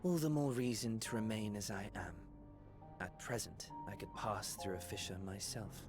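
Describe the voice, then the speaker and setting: Game role: male actor as a haughty, brooding bodiless man, grave and formal, fairly deep, with music clearly playing behind him. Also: normal speed, natural voice. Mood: indignant, proud. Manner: grave, indignant, formal archaic speech. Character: proud, brooding man turned small, bodiless being. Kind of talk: video game cutscene acting.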